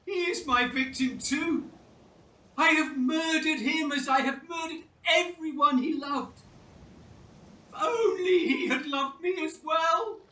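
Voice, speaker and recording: A high, bright-voiced monster, tormented and full of self-loathing. The speech is slow, formal and theatrical, heavy with anguish and loneliness, in a roomy radio play sound. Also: far from the mic, exaggerated voice.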